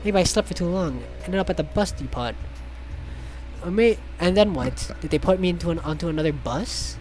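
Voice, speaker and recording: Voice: medium pitch, lively intonation, fairly quick. An irreverent, swearing speaker reading a visual novel aloud for comedy, stumbling over words and throwing in joking asides. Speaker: reader of the game text for the group. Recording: loud music under the voice.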